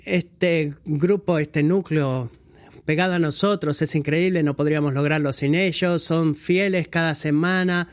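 Higher-voiced man preaching a sermon. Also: fairly even intonation. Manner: measured, pausing, correcting his own slips. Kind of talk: scripture reading and sermon.

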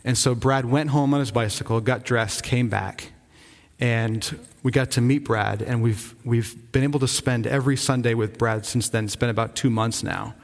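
A man's voice: medium pitch, steady tone, creaky. A man from Rhode Island, a pastor, giving an earnest, informal update from the pulpit, often restarting his sentences. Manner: earnest, enthusiastic, halting with frequent restarts. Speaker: visiting church-planting pastor. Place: church sanctuary. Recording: long reverb of a church hall.

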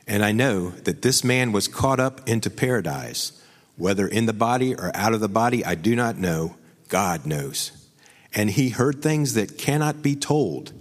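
A man with a fairly low voice reads scripture slowly and solemnly, his phrases sinking at their ends. He sounds a little away from the mic, and a long reverb trails after his words. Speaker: preacher reading scripture. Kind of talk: scripture reading in a sermon.

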